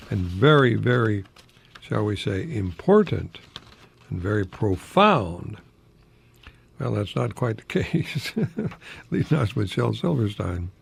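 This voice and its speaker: A man's voice: mid-range voice, lively intonation, measured pace, gravelly, a little hiss or hum. An older American man chatting like a professor on a radio talk, posing rhetorical questions as he goes. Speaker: radio host, retired college professor. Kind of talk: informal radio talk with verse recitation.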